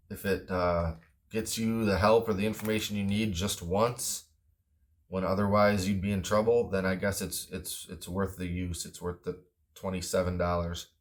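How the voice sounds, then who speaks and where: A man with a low-pitched voice talks through a product review casually. His delivery is offhand and plain-spoken, with some hesitation as he goes. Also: a little hiss or hum. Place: indoors at a wooden table.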